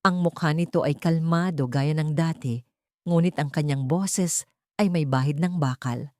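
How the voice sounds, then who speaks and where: Low, mellow Filipino woman narrator. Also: measured pace, no echo, clean studio sound. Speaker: audiobook narrator. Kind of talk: scripted dramatic story narration.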